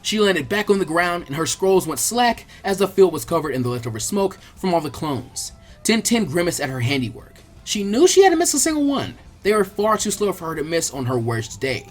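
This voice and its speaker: Audiobook narrator reading action story, dramatic, mid pitch voice, music behind. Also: big pitch swings, fairly quick. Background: background music.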